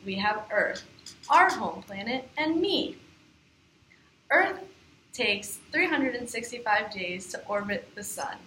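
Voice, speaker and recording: A woman reads a scripted lesson for a puppet show in a fairly high, sharp voice. The sound is echoey, with slight background noise. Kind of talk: scripted educational narration.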